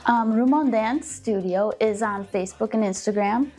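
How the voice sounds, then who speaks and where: A woman's voice: a little high, moderate pace, mellow, faint music behind. A woman who runs a dance studio, talking casually and informatively to camera about her classes. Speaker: dance instructor and studio founder. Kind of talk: casual promotional talk to camera.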